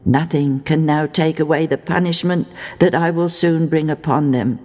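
A woman voicing a majestic, stern male God, solemn and deliberate, in a children's Bible story. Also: deep voice, steady tone, a little mellow, in a large hall.

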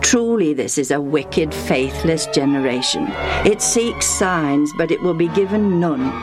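A woman's voice: deep voice, lively intonation, measured pace, over loud music. A woman voicing an earnest man who is retelling something he saw. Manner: storytelling, earnest.

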